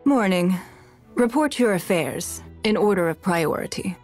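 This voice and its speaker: A woman voicing a poised, calculating, wealthy noblewoman in a fantasy video game, speaking in a measured, formal and haughty manner. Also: medium pitch, expressive, a little mellow, soft background music, natural voice. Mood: composed, commanding. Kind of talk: video game character voice lines.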